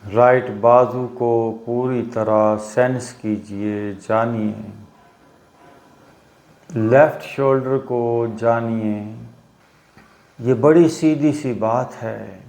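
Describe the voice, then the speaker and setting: A man teaching guided meditation, giving instructions in short phrases with long pauses between them. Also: mid-range voice, fairly even intonation, a little mellow. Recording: roomy echo on the voice.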